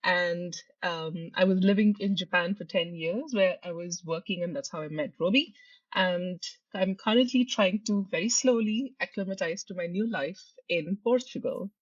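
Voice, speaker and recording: A woman in her late thirties from India, co-hosting a casual podcast chat in a calm, measured way. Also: fairly low pitch, dry recording. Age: late 30s. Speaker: podcast co-host. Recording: dry, dull lo-fi mic.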